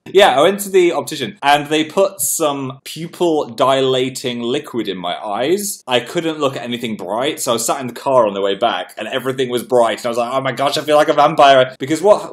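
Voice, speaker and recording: Young man livestreaming from his bedroom, bubbly, self-mocking, quick to giggle, webcam mic. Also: mid-range voice. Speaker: livestream host. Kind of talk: casual livestream chat. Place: home bedroom.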